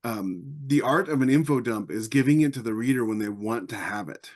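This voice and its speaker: A man's voice: medium pitch, a little fast, darkish tone. A man chatting casually as a podcast panelist, conversational and repeating phrases for emphasis. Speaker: podcast panelist and science fiction author.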